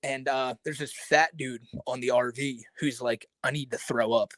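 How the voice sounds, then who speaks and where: A man's voice: mid-range voice, steady tone, moderate pace, a bit bright, no room sound. A man co-hosting a podcast, telling stories in casual chat with lots of filler words and frequent laughter. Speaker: podcast co-host.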